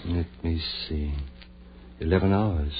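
An actor playing a confident, intense scientist husband in a theatrical 1940s radio horror drama. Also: male voice, low voice, steady tone, takes it slowly, husky, exaggerated voice. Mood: confident, self-assured. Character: driven scientist husband who revived his dead wife. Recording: muffled, noisy 1940s radio recording with hum.

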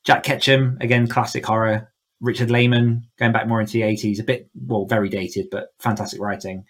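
Man, lowish voice, casual interview chat, podcast guest, enthusiastic and effusive delivery. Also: flat delivery, fairly quick, a bit bright. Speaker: podcast guest, horror author and coach.

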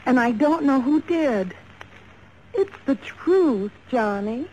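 A woman's voice: high voice, expressive, takes it slowly, darkish tone, full character voice. An American woman playing an anxious young fashion model under suspicion, earnest and defensive in 1950s radio drama style. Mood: defensive, pleading. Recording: dull, faintly noisy 1950s radio recording.

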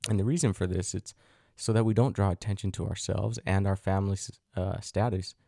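A man's voice: sits low, steady tone, fairly quick, gravelly, warm tone, no echo, studio quality. A middle-aged man hosting a solo podcast, talking thoughtfully and asking himself rhetorical questions, with frequent fillers. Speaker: podcast host. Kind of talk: reflective solo podcast monologue.

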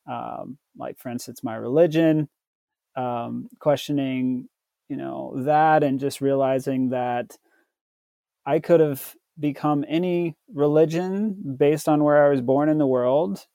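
Male American podcast guest, mellow and unhurried, reflective storyteller who pauses mid-thought, dry close sound. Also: medium pitch, gravelly, no room sound. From southern United States, Tennessee. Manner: halting, pausing often mid-sentence. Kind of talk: reflective personal storytelling in an interview.

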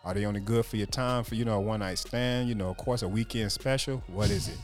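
Deep voiced guy, rambling host at a podcast table, music going in the background. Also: fast talker. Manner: rambling, loose, repeats "you see what I'm saying". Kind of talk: casual chat. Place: small room, group podcast around a table.

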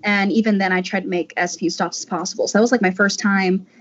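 A woman talks as a voice actor in a relaxed group discussion. Her voice has a husky grain and her way of speaking is reflective. The recording is a little muffled. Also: mid-range voice, fast. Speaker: voice actor discussing an audio drama. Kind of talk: casual panel discussion.

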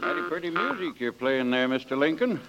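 A man's voice: fairly high pitch, animated, brisk, vocal fry, over loud music. A man playing a folksy, blustery but well-meaning older judge in a 1940s radio drama. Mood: awkward well-meaning. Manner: hemming, mildly cussing folksy bluster. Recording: dull, band-limited old radio recording.

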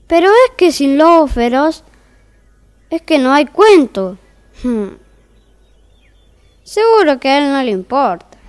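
A woman's voice: sits high, very animated, slow, pauses often, exaggerated voice. A woman playing a mild, easily flustered fairy-tale narrator in a comic audio story, hesitant and accommodating.

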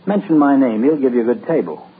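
An actor playing a genial, lovestruck bachelor town doctor in 1940s radio comedy style, jovial and dreamy. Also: male voice, medium pitch, expressive, quick pace, husky. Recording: tinny lo-fi old radio broadcast.

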